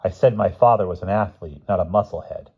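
A man's voice: low voice, quick pace, breathy edge, lo-fi sound. A man voicing an earnest, anxious schoolboy with dry humour in a children's storybook. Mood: wry. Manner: earnest, wry.

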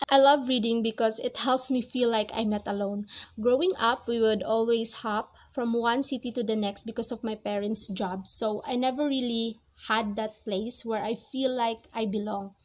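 A woman's voice: on the high side, steady tone, moderate pace. A young woman in her twenties, not a native English speaker, talking earnestly to the camera about reading in a small room. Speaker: book vlogger. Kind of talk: casual talk to camera. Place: small cozy bedroom with string lights. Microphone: camera at close range.

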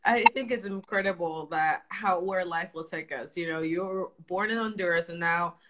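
A woman's voice: on the low side, normal speed, very noisy. A young woman hosting a live video interview, chatty and friendly, speaking into a phone in an indoor room. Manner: friendly, chatty, with frequent laughs. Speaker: host. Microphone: phone held close, front camera.

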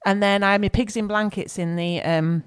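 A woman's voice: mid-range voice, steady tone, brisk, clear, resonant tone. A woman with an English accent co-hosting a podcast, chatting in a rambling way, searching for words while she has a cold. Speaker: podcast co-host. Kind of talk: casual chat.

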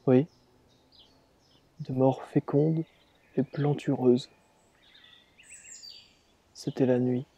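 A man reciting a dramatic monologue as a dying rebel slave, in grave, deliberate, measured phrases. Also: medium pitch, flat delivery, mellow, slightly rough, lo-fi sound. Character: dying rebel slave reliving his revolt, grave and defiant. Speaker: reader performing a dramatic monologue. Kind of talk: slow literary dramatic recitation.

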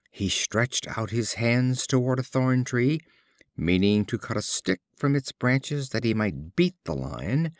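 Male audiobook reader for fables: unhurried, animated intonation, expressive storytelling. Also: mid-range voice, dry recording, studio quality. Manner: measured, expressive storytelling delivery. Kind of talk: scripted storybook narration of fables.

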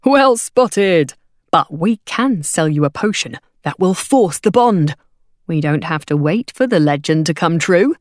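A woman voicing a grinning male hawker for an audiobook, slick and taunting, with loud wheedling sales patter. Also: on the low side, animated, measured pace, no echo, clean studio sound. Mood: pushy. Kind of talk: audiobook character voice.

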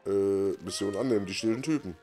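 A man's voice: fairly low pitch, fairly quick. A man doing casual let's play commentary as a gaming host, thinking aloud while he plays. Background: game music and sound effects.

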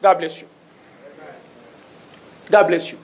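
A man's voice: high voice, fairly even intonation, slow. A middle-aged man with a French accent preaching a sermon from the pulpit of a church and calling out "Amen?" to the congregation. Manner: call-and-response, asks the congregation "Amen?". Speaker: guest preacher. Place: church sanctuary, small stage with pulpit. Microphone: handheld mic at pulpit.

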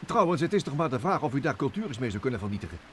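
A jittery old-school professor, stammers a lot, pitch jumps all over, faint hiss behind. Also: male voice, big pitch swings, racing, fairly bright tone. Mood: anxious. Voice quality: stammering, hesitant. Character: nervous stammering male professor scientist. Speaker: professor piloting a mini submarine. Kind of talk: vintage Dutch radio play.

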